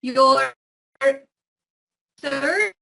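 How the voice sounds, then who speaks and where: A woman's voice: sits high, animated, drawn-out words, creaky, sharp tone. A woman giving an explanatory lecture to students, often checking in with her listeners. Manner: frequent check-ins with listeners.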